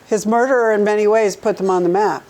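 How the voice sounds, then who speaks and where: Woman host, warm mellow voice, chatty leading questions, faint hiss. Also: medium pitch, fairly even intonation, quick pace. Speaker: talk show host. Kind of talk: conversational interview.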